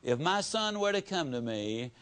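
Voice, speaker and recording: A middle-aged man giving a motivational sales speech, telling folksy stories with rapid-fire repetition and dramatic pauses. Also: a little high, big pitch swings, fairly bright tone, clean tone. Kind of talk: motivational speech to a live audience.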